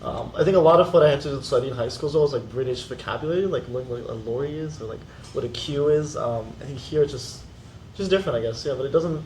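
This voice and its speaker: Fast-talking young man in echoey room. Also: medium pitch, darkish tone, recorded from a distance, slight background noise. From Netherlands. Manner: quick, many "like" fillers. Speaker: panelist, international student. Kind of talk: casual panel discussion.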